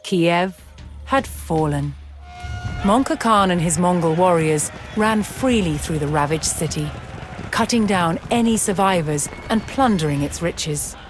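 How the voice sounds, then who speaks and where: A woman reading scripted documentary-style narration in a measured, solemn and dramatic storytelling manner. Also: lowish voice, lively intonation. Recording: music behind the voice.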